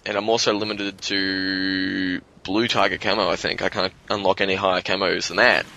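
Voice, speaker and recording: Young Australian man, low voice with a forceful bright edge, slightly hoarse, loose rambling gamer talk. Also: flat delivery, moderate pace, low-quality recording. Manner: rambling, loose, swears freely. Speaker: guest commentator. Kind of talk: casual gaming commentary.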